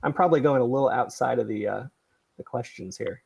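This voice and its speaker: Middle-aged male livestream host, husky with vocal fry, digressive and self-effacing. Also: mid-range voice, fast, darkish tone. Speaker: podcast creator and livestream host. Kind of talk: casual livestream chat.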